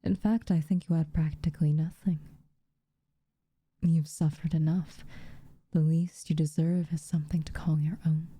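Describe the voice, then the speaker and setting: A woman playing an aloof, teasing but secretly caring witch in a soft, breathy, intimate ASMR roleplay. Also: deep voice, level tone, no echo. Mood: dry, gently firm. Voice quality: soft, breathy, often near a whisper. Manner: unhurried, hushed, dryly teasing.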